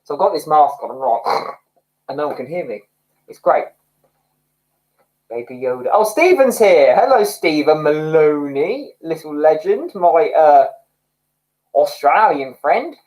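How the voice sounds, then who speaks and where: Giggly chatty man with lively pitch. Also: measured pace, pauses often, poor audio. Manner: chatty, giggly, self-mocking, laughs and snorts often. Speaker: livestream host. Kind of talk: casual chat reading viewer comments. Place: home, indoors.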